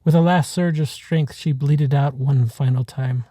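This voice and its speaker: A man reading a short story as scripted narration, in a measured, dramatic manner. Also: mid-range voice, steady tone, dark tone, no room sound, studio quality. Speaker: author reading his own short story.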